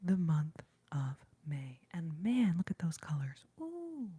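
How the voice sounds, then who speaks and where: A young woman whispering softly in a chatty, rambling ASMR livestream, reading out viewer comments and giggling now and then, close to the mic. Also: deep voice, very animated, slow, slightly rough. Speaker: ASMR streamer.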